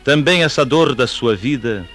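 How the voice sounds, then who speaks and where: A man giving solemn, scripted devotional narration with reverent, measured, prayerful phrasing. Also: mid-range voice, a bit bright, soft background music.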